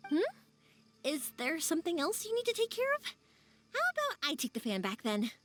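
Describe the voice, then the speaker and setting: A woman's voice: high-pitched, huge pitch swings, moderate pace, over music. A woman voicing a friendly, easygoing young woman in a video game. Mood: cheerful, relaxed.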